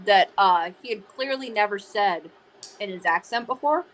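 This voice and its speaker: A woman's voice: medium pitch, animated, normal speed, fairly bright tone. Casual woman with faint background noise. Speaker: livestream panelist. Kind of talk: casual chat.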